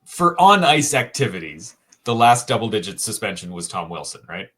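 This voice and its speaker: A man co-hosting a casual sports podcast, thinking aloud with frequent pauses and restarts. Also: fairly low pitch, sing-song, fairly bright tone, breathy edge. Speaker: co-host, hockey writer.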